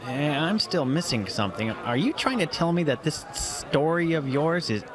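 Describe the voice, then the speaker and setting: A man acting in an audio drama as a skeptical, excitable writer friend, with a hesitant, expressive delivery. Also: a little high, normal speed, noisy background, close to an everyday voice. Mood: skeptical, musing. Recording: music behind the voice. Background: music.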